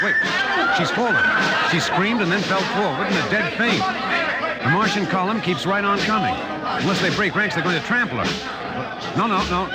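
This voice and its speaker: Dramatic radio reporter, very noisy old recording. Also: male voice, high voice. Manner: dramatic, breathless eyewitness reporting with urgent repetitions. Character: excited male radio reporter covering an ominous Martian parade. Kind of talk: 1950s radio acting, live on-the-spot commentary.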